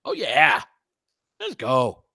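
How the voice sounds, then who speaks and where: A man's voice: medium pitch, huge pitch swings, extremely slow, creaky, pushed and bright, slightly rough, dry recording, studio quality. A man hosting a casual fan livestream, calling basketball in short clipped phrases mixed with chatty asides. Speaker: play-by-play host.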